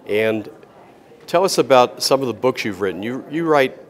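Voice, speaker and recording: A man's voice: medium pitch, lively intonation, moderate pace. An older man interviewing a guest in a casual, folksy and complimentary way.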